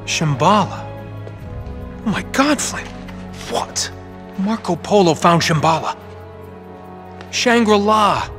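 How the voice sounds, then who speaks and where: A man playing a roguish, wisecracking treasure hunter in a video game, with a sarcastic, excitable, conversational way of talking. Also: sits high, slow pace, long pauses, soft background music, heavily acted. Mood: excited, intrigued. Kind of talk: naturalistic cinematic game acting.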